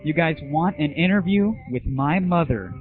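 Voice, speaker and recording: A man's voice: on the high side, moderate pace, soft background music. A young man hosting an internet radio show, talking casually about gaming in an irreverent, sarcastic, slangy way. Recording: tinny, phone-like 1990s internet audio, roomless.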